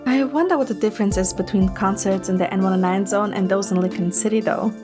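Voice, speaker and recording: A woman's voice: medium pitch, fast talker. A woman reading scripted first-person story narration. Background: music.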